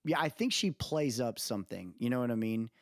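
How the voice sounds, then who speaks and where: Animated man chatting casually on a podcast. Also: mid-range voice, brisk, no echo, studio quality. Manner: opinionated, slangy, repeatedly seeks agreement with tag questions. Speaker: podcast host.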